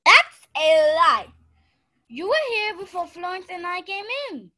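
Young woman playing blunt party guest, piercing bright voice, swooping pitch, amateur radio play. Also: expressive, unhurried, full character voice. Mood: accusing. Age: young adult.

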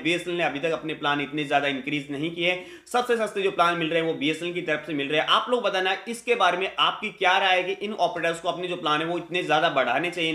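A man's voice: fairly high pitch, bright, forceful tone. A man, giving an emphatic, repetitive news update to camera as a tech YouTuber. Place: small indoor room with hard walls. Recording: echoey room.

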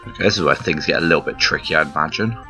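A man casually commentating on a puzzle game as he plays, rambling and poking fun at himself, his voice croaky today. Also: rumbling low, very fast, pushed and bright. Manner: rambling, self-deprecating, chuckles often. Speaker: gameplay commentator. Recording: slightly dull lo-fi recording with game music behind.

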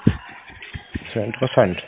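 A man speaks in terse, laconic replies on a call. He stays deadpan and unimpressed, and his voice sounds tinny and phone-like. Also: a little slow, soft background music. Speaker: scambaiter taking scam phone calls.

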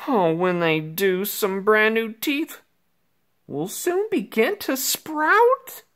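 A man reading a rhyming children's story aloud theatrically, with dramatic pauses, as an anxious, melodramatic five-year-old boy. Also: high voice, expressive, fairly bright tone. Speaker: picture book reader.

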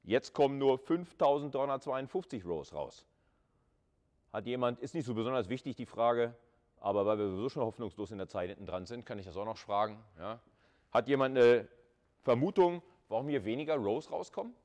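A man's voice: mid-range voice, expressive, a little fast, darkish tone. A man lecturing at a university in an informal, conversational way, thinking aloud and putting questions to students.

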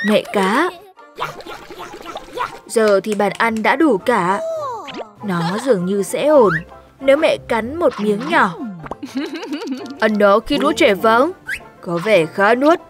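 A woman voicing an expressive, lively lion parent in a children's cartoon dub, with sing-song rhyming storytelling. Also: on the high side, measured pace, long pauses, over music. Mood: cheerful.

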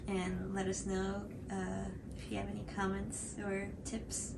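A woman's voice: mid-range voice, completely flat delivery, unhurried. A woman, chatting casually to camera in a hesitant, self-deprecating way. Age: 20s. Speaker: cosplay channel host. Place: small room indoors on a couch. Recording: clearly audible background noise.

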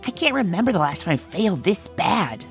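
A woman's voice: medium pitch, sing-song, fairly quick. A woman voicing a brash, cocky young female cartoon pegasus in a comic dub, with a boastful, dramatic delivery. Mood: worried, frustrated. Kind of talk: animated comic dub acting. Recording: voice over background music.

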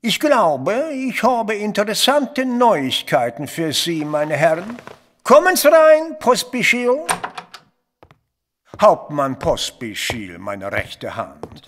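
An actor playing a jovial, shrewd army colonel with a Viennese accent, teasing and theatrically self-satisfied, in an audio drama. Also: male voice, high voice, sing-song, slow, a bit bright, slight background noise, full character voice. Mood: genial, commanding.

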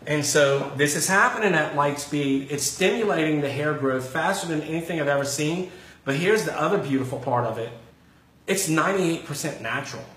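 A middle-aged male hairdresser giving an excited product demonstration, talking with frequent ums, filmed on a phone indoors. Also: a little high, normal speed, rough recording. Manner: enthusiastic, salesy, with frequent ums.

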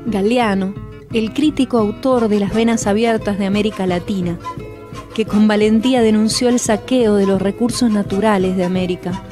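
A woman reading a scripted tribute narration in a soft, measured, poetic way. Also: mid-range voice, steady tone, music in the background.

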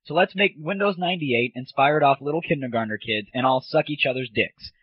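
A man's voice: mid-range voice, a little fast, husky, no room sound. A man hosting a comedy audio show, ranting casually in a sarcastic, rambling, profane way. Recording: muffled lo-fi 1990s internet audio. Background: music.

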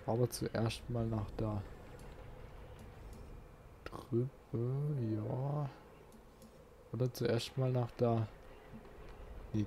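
A man's voice: mid-range voice, dark tone, a little hiss or hum. A man doing casual let's play commentary, musing aloud and chatting to his viewers. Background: game music.

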